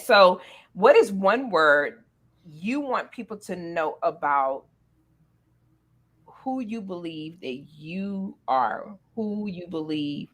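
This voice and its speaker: A woman hosting a casual talk show interview, speaking to guests in an encouraging, playful way. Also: fairly low pitch.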